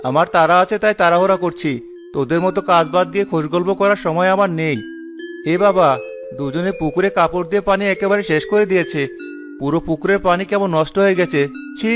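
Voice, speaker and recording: A stingy cartoon villager played by a man grumbles in a fairly high voice at a very quick pace. He is irritable, suspicious and always on the defensive. Loud music plays behind the muffled recording. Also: lightly acted. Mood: irritated. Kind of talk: cartoon character voice acting.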